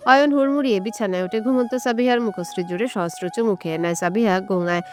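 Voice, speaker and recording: A woman's voice: mid-range voice, quick pace. A woman reading a romantic audio story as scripted narration. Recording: music bed under the voice. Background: music.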